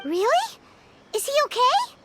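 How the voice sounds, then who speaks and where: A woman voicing a small, childlike, excitable companion creature in a video game, squeaky and very expressive. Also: a little slow, heavy background noise, heavily acted. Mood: concerned. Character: tiny, childlike, excitable floating companion, worried and loyal. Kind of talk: cartoonish video game voice acting.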